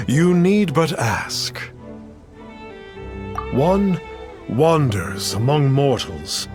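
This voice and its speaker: Pompous charlatan sage for a video game: grandiose, archaic phrasing, measured pace, highly animated intonation. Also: male voice. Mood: grand, cryptic. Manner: grandiose, archaic, calls himself "one". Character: pompous self-proclaimed mystic sage, a boastful charlatan man. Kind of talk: theatrical video game dialogue.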